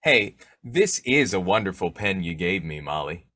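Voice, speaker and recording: A man's voice: deep voice, sing-song, moderate pace, pushed and bright, no room sound, studio quality, heavily acted. A man acting a snoopy, folksy husband who cracks slangy jokes in an old-time radio comedy. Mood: curious, pleased. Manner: folksy, jokey, slangy delivery.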